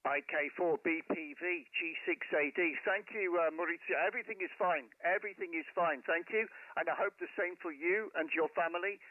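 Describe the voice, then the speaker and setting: A man's voice: fairly high pitch, a little fast, hardly any pauses, narrow, tinny audio, a little hiss or hum. A man speaking as an amateur radio operator in a friendly, chatty, courteous way, close on a headset mic. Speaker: amateur radio operator, live stream host. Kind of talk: casual radio contact chat with callsigns in phonetic alphabet.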